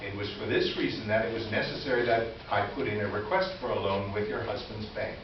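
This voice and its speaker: A man playing an earnest, wronged store employee near retirement, reading a formal confessional letter in reader's theater with deliberate delivery. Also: fairly low pitch, lightly acted. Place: indoor live stage presentation. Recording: echoey room, distant mic, muffled, noisy.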